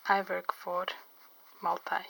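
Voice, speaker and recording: A middle-aged woman, not a native English speaker, chatting slowly and carefully about her drawing, enunciating every vowel clearly. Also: on the low side, completely flat delivery, pushed and bright, low-quality recording. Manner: slow, careful, clearly enunciated, pauses often. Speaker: artist and interpreter. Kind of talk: drawing tutorial vlog chat. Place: home studio by a window.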